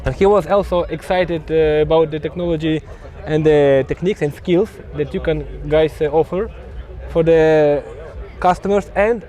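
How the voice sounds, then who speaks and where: A man with a high yet mellow voice interviews a guest at an outdoor event. He talks haltingly, with many fillers and restarts. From Ukraine. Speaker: YouTube host interviewing at an event. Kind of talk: casual on-site interview.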